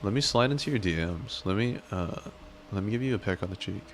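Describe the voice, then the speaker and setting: A man chats on a live stream, wandering from joke to joke and teasing himself along the way. His voice sits very low. A faint hiss runs under the recording. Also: lively intonation, brisk. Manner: rambling, joking, self-deprecating. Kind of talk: casual live-stream chat.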